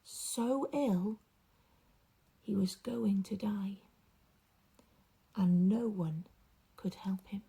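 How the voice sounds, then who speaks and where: A woman reading a storybook aloud for young children, with dramatic emphasis and drawn-out repeated words. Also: mid-range voice, creaky, mellow, breathy edge.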